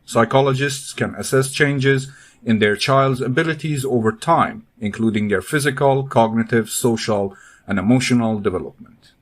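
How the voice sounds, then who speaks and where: A man's voice: mid-range voice, moderate pace. A man reading formal educational passages aloud as scripted narration. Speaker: pronunciation practice narrator.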